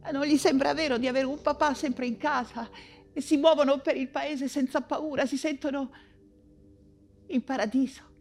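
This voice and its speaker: An actress playing an anguished woman writer and mother fleeing persecution in a wartime stage monologue, theatrical and halting, with anxious repetitions. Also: sits high, fairly even intonation, a little mellow. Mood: fearful, sorrowful.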